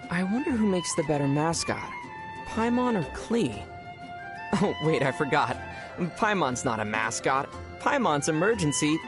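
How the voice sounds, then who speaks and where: A woman playing a young male traveler hero in a video game, calm, dry and gently teasing. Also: deep voice, a little slow, music in the background.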